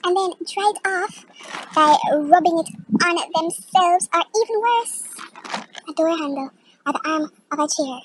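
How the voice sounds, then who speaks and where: Woman acting high-voiced, exasperated germ-averse complainer. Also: a little slow, a little hiss or hum, rough recording. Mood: disgusted complaint. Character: exasperated woman disgusted by sick people's germs. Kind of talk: theatrical audition monologue.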